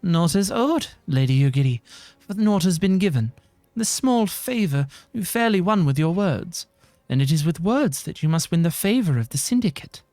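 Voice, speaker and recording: A man's voice: sits high, expressive, normal speed, few pauses, darkish tone, dry recording. A man voicing an eloquent, composed young nobleman in a fantasy game, with formal and lofty phrasing.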